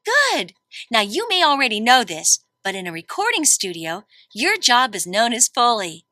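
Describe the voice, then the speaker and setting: A woman studio host speaks in a high, lively voice that swings widely. She sounds like a chirpy presenter guiding children through a scripted session, with a close, dry sound. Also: normal speed, no room sound. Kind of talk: scripted, upbeat instructions to children.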